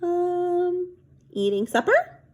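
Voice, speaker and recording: A woman's voice: extremely high pitch, very animated, very slow, darkish tone, pitched above the performer's own voice, exaggerated voice. A woman voicing a mischievous young girl in a storybook, innocent and eager to explain herself. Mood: sheepish.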